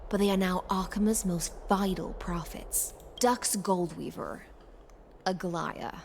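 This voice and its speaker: A courtly female herald with a slightly low voice. She speaks in measured, formal game dialogue over faint ambient noise. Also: steady tone, creaky, darkish tone. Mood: composed.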